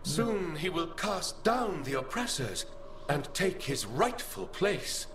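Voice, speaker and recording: An actor playing a haughty, grandiose archive spirit in a video game, speaking formally and with condescension. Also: male voice, fairly high pitch, very animated, takes it slowly, fairly bright tone, slight background noise, exaggerated voice. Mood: scornful, grandiose. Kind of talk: video game character dialogue.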